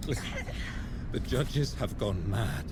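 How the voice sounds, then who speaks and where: A worn out rebel soldier in a video game, very up and down delivery, loud noise behind him. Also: male voice, lowish voice, very animated, slow. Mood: weary, urgent. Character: battle-worn, defiant male soldier, wry and loyal. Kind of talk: cinematic video game acting.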